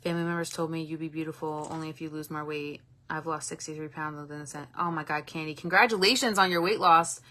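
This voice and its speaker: Bright-voiced chatty woman in her thirties. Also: deep voice, fairly even intonation, fast talker, hardly any pauses. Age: 30s. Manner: candid and chatty, swears freely, warm to commenters. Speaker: livestream host. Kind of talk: casual livestream chat reading viewer comments.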